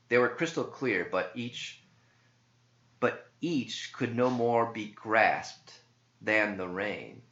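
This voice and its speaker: Slow, deep-voiced man reciting verse. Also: a bit bright. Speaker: author reading own verse. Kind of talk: scripted verse narration. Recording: dull, echoey room recording.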